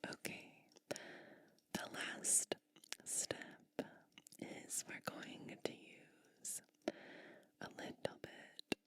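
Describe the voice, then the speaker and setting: A woman whispers slowly as a kindly cottage witch in an ASMR roleplay. She is attentive and soothing, addressing the listener directly. Her hushed voice is crisp and very bright. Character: kind, nurturing cottage witch. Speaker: ASMR performer playing a witch.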